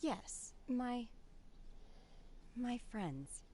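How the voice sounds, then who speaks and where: An actress playing a reserved, solemn young woman who speaks in a soft, measured, melancholy way in a video game. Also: a little high, animated, slight background noise. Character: reserved, solemn young woman returning to her home village.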